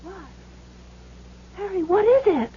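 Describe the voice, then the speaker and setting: A woman's voice: very high voice, animated, a little slow, warm tone. An actress playing an emotional woman in a 1970s radio melodrama. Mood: anxious. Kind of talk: 1970s radio drama acting. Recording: muffled, noisy old radio recording.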